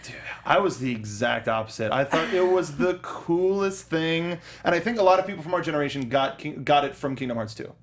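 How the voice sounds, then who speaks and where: A man's voice: a little high, animated, a little fast. A young man co-hosting a casual movie review show, enthusiastic and rambling, often restarting his sentences. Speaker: podcast co-host. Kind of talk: casual film review chat.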